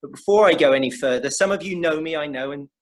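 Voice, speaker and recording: A man's voice: a little high, fast talker. A middle-aged man with an English accent, hosting an interview event and introducing the evening in an earnest way, often repeating and restarting phrases. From England. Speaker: host.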